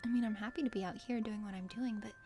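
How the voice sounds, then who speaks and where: A woman voicing a friendly, awkward young adventurer heroine, hesitant, casual and gently teasing, in an ASMR play-through of a dating game. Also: mid-range voice, steady tone, fast talker, background noise, lightly acted. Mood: kind, encouraging.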